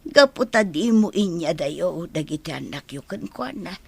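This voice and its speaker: Old Ilocano grandmother, creaky and husky, unhurried, tender, concerned, audio drama. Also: on the low side, expressive, exaggerated voice. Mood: troubled, gentle. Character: elderly mother, concerned, tender, slow-spoken grandmother. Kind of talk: radio drama acting.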